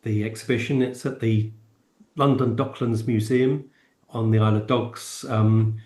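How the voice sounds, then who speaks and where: Echoey, lowish-voiced man chatting. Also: steady tone, a little slow, a little mellow. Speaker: podcast co-host. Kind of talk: casual discussion. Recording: roomy, echoing sound.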